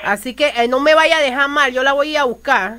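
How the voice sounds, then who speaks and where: Fast, high-voiced young Panamanian woman. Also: pushed and bright. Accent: Panamanian Spanish. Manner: pleading, insistent. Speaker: prank caller. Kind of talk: casual phone chat. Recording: noisy phone call on radio.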